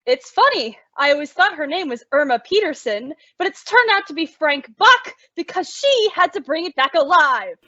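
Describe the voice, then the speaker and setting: A woman's voice: sits high, sing-song, normal speed, hardly any pauses, pushed and bright, dry recording, full character voice. A woman playing a sensible, exasperated roommate with a sardonic, dramatic delivery in old-time radio comedy. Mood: wry narrating. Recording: dull lo-fi radio-style recording.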